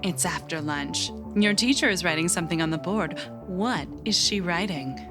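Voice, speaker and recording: A woman playing an unflappable, eerie agency interviewer in an audio drama, measured and clinical as she probes. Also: on the low side, music in the background. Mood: slow, hypnotic. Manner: measured, clinical, calmly probing.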